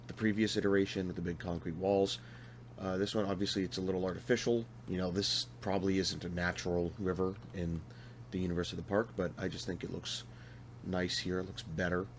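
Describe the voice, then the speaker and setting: A young man with a deep, low-pitched voice that is hoarse and worn, as if he is losing it. He talks loosely over gameplay, trailing off, correcting his own words and adding dry asides. A faint hum sits under the recording. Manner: rambling, self-correcting, wry asides. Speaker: video game builder. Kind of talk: casual commentary over gameplay.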